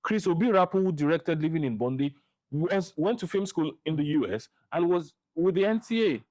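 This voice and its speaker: A middle-aged man with a Nigerian accent giving opinions in a podcast interview, speaking deliberately and repeating phrases for emphasis. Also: on the high side, lively intonation, darkish tone, no echo. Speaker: interview guest, film director and writer.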